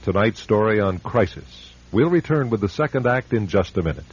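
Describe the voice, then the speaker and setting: A man's voice: lowish voice, very animated, fairly quick. A man announcing a radio drama in a scripted broadcast style, on a muffled recording. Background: loud music.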